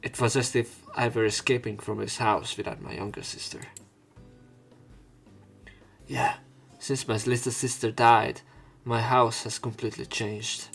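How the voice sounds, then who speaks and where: A man's voice: medium pitch, little pitch movement, measured pace, creaky, a bit bright, slightly rough, faint music behind. A man reading visual novel narration aloud as a let's player, with casual commentary. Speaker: let's play streamer.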